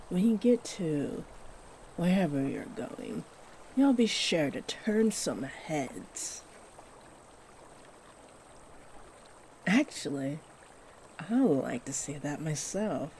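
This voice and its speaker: A kindly, doting fairy played by a woman speaks to the listener in a fantasy audio roleplay. Her voice is mellow and unhurried, swooping expressively as she gently fusses and encourages. A faint wash of stream ambience sits behind her. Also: sits low, a little hiss or hum, heavily acted. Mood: fond, playful. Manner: gentle, encouraging, fussing, with sweet endearments. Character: kindly, doting fairy who gives magical makeovers.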